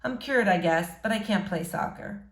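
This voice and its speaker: A woman voicing an awkward, sardonic schoolboy in a storybook reading, hesitant and deadpan. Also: mid-range voice, normal speed, natural voice.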